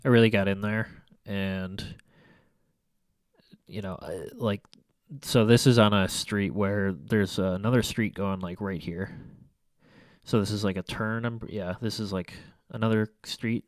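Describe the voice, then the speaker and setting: A young man talks through his photos with a congested, stuffy nose, rambling and restarting his sentences. His voice sits low and sounds smooth and clear. The sound is dry and close, with no room around it. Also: fairly even intonation, a little slow, no echo. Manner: rambling, hesitant, many fillers and restarts. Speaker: photography vlogger. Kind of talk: casual photo review commentary. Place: small room at home by a window.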